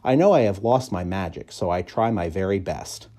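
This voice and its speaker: Low, mellow man reading a story. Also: animated, moderate pace. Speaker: audiobook reader, school staff member. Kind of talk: expressive storybook narration, first person.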